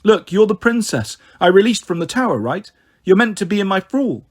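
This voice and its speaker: A man's voice: sits high, sing-song, moderate pace, husky, pitched above the performer's own voice, exaggerated voice. A man voicing a plucky teenage boy in an audiobook, jokey and romantic, with a playful, theatrical way of talking.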